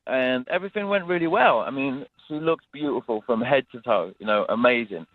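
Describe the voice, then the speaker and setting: Expressive Englishman calling in by phone. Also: mid-range voice, fairly quick, no room sound. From England. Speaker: radio show caller. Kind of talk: casual conversational storytelling. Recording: thin phone line.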